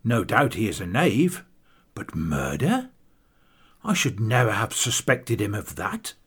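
A composed gentleman captain, voiced by a male narrator, in a slightly husky, measured voice. He speaks gravely and evenly, keeping his head while others around him lose theirs. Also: lowish voice, wildly swooping pitch, full character voice.

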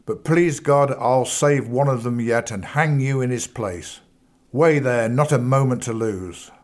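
A man voicing a fierce, gruff male Bow Street officer with blunt, rough old-fashioned speech in an audiobook story. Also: lowish voice, unhurried. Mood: furious.

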